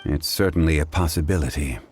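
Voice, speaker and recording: A very low-voiced, dignified gentleman in a video game. He is calm and grave, choosing formal, erudite words with measured courtesy. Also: slight background noise. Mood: grave, composed. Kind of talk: scripted video game dialogue.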